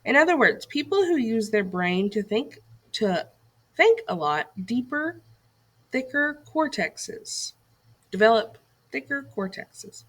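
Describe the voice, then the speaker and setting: A woman's voice: on the high side, sing-song, slow pace, a bit bright. A woman reading a scripted educational lesson aloud to children as a teacher, putting questions and directions to young listeners.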